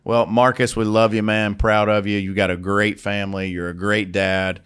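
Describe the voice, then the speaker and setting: A man's voice: fairly low pitch, flat delivery, normal speed, fairly bright tone, smooth. A man hosting a podcast, chatting casually and upbeat with his guest. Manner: upbeat, encouraging. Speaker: podcast host. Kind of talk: casual interview chat.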